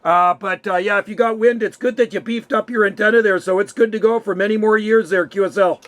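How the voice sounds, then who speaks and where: A man's voice: high-pitched, steady tone, brisk, a bit bright, no echo. A man talking casually and colloquially as an amateur radio operator, often affirming what he has heard. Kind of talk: casual radio contact chat.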